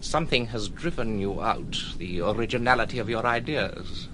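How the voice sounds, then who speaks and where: An actor playing a cold, self-important gentleman outlaw, speaking formally and ominously in a radio drama. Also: fairly low pitch, lively intonation, moderate pace, background noise, lightly acted. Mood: menacing, haughty.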